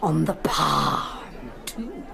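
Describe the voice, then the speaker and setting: A woman's voice: low voice, animated, drawn-out words, background noise, heavily acted. An actress playing a gushing, gossipy society woman with an arch, coy, needling manner in a radio drama. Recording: clear crowd murmur behind.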